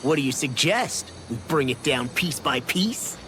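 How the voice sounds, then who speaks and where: A man's voice: a little high, animated, measured pace, noisy background. A man playing a young, brash and skeptical fighter in a fantasy video game cutscene. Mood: questioning. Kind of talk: English dubbed video game cutscene acting.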